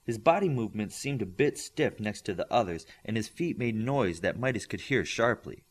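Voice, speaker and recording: A man's voice: fairly low pitch, animated, normal speed. A man reading scripted story narration as an audiobook reader.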